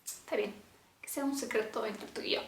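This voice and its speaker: Young woman, high bright voice, chatty, laughs a lot, roomy echo. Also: normal speed, whispered. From Romania. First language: Romanian. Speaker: vlogger. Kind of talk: casual chat to camera. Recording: echoey room.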